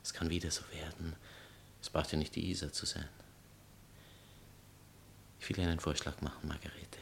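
An actor playing a pompous, theatrical writer and former lover, declamatory and sarcastic in a stage satire. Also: male voice, a bass voice, level tone, moderate pace, vocal fry, a little hiss or hum. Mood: wooing, intimate. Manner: declamatory, sarcastic, self-important. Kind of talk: stage satire acting in literary German.

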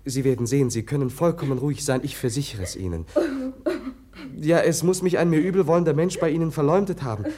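An actor playing a gentle, guileless prince in a German radio drama, speaking in an earnest, polite, halting way. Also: male voice, a little high, a little hiss or hum, lightly acted. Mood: flustered, earnest. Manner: hesitant, stammering, earnest, polite.

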